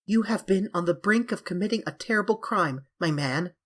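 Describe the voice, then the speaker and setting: A desperate young gentleman in a storybook reading, as a male narrator puts him on, his voice climbing high and tight. The tone stays husky and airy. He begs and gasps, then settles into cold sternness. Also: expressive, normal speed. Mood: stern. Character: young man, desperate, pleading then triumphant. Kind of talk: audiobook character voice.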